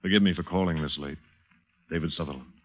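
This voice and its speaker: A man's voice: extremely low pitch, steady tone, quick pace, slight background noise. A man playing an urbane, smitten hero who narrates his own story in wry, polished 1940s radio style. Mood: urgent, intent. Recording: muffled vintage radio drama with music.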